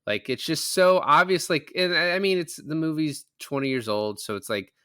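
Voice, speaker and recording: Bright-voiced man talking films, emphatic and profane. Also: on the high side, animated, moderate pace, smooth, dry recording, studio quality. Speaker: film podcast panelist. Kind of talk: casual film discussion.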